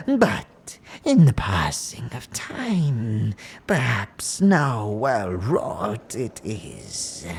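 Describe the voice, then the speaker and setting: A woman playing an ancient, cunning hag in an audio drama, speaking in cryptic riddles with a creaky, cackling voice that slips into breathy whispers. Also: extremely low pitch, slight background noise, heavily acted. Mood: sly, riddling. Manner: cryptic, archaic inverted phrasing, lingering and theatrical. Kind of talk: theatrical audio drama acting.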